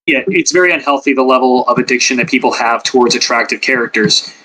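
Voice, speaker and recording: Bright-voiced man chatting casually. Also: mid-range voice, fast, low-quality recording. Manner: rambling, filler-heavy, many "like"s. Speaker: podcast panelist, ASMR creator. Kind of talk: casual chat.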